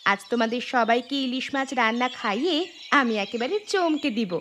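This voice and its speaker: A woman's voice: on the high side, normal speed, fairly bright tone, a little hiss or hum, close to an everyday voice. A woman voicing an eager, stubborn young daughter-in-law in a cartoon, with an insistent, exaggerated delivery. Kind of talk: Bengali cartoon storytelling voice acting.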